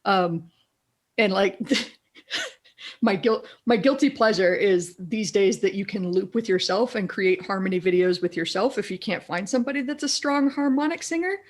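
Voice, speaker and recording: Raspy-voiced woman telling stories. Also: normal speed. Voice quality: deeper raspy voice. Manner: animated storyteller, laughs often. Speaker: guest, copywriter. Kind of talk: casual interview chat.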